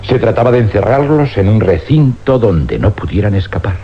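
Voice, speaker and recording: An actor playing a weary older scientist in a suspense radio drama, speaking with grave deliberation and dramatic pauses in an accent from Spain. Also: male voice, lowish voice, lively intonation, breathy edge. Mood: grave, haunted. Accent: Spanish, from Spain. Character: weary older scientist confessing an uncanny experiment. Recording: dull, noisy old radio recording with hum.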